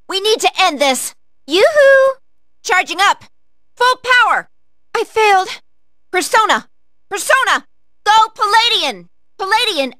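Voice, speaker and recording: A woman's voice: extremely high pitch, sharp tone, dry recording, studio quality, heavily acted. A woman playing an earnest, polite young female android fighter in a video game, calling out clipped, formal battle commands. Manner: clipped, formal machine-like status callouts.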